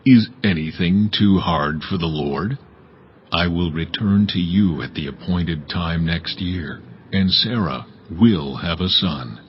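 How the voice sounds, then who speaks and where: An actor playing God as a solemn, commanding divine judge who speaks deliberately in a dramatized scripture. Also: male voice, deep voice, muffled recording, a little hiss or hum. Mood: stern.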